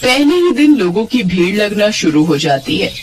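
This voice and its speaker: A woman's voice: mid-range voice, big pitch swings, normal speed, slight background noise. A woman narrating a scripted moral bedtime story as a storyteller.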